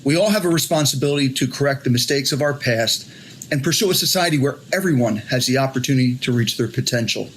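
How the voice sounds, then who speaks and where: A man's voice: medium pitch, quick pace. A man delivering a prepared public greeting in the style of an official address. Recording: faint background noise.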